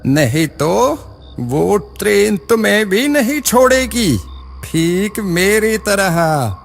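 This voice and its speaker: A man playing a crazed, eerie man who gives ghostly warnings in a horror cartoon, with a slow, drawn-out, menacing delivery. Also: high voice, sing-song, creaky, full character voice. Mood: ominous warning. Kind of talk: Hindi animated horror story dialogue. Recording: long cavernous reverb over music.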